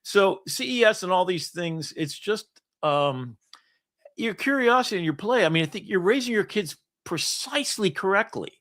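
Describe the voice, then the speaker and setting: Animated, rambling middle-aged man. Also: high-pitched, moderate pace. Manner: storytelling, animated, laughs often. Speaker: podcast host. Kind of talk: casual rambling chat.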